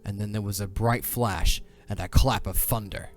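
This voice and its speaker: A man giving a dramatic scripted narration in a solemn, measured way. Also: on the low side, fairly even intonation, soft background music.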